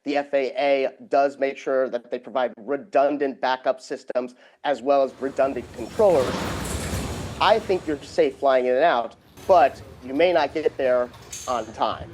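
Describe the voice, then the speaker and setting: A man's voice: medium pitch, unhurried, background noise. A man answers interview questions as an industry expert. He speaks in the manner of an executive offering advice on camera. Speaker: company CEO interviewed as travel expert.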